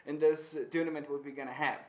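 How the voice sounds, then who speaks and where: A male esports co-caster with a slightly high voice. He talks in a chatty, jokey way and often restarts his words. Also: little pitch movement, quick pace, darkish tone. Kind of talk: live esports commentary.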